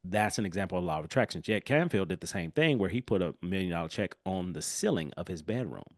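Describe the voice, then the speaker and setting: A man hosting a solo podcast in a casual, animated way, his voice congested and nasal from a cold. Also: low voice, quick pace, no echo, clean studio sound. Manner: casual, animated, chatty with asides. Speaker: podcast host.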